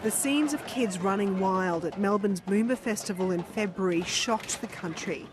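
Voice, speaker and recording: Aussie woman news reporter, measured serious voice-over, mid pitch. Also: music in the background. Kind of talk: scripted news narration.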